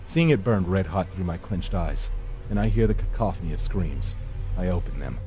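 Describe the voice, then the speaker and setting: A man's voice: deep voice, expressive, moderate pace, over music. A man reading first-person horror narration for a visual novel in a brooding, theatrical storytelling manner.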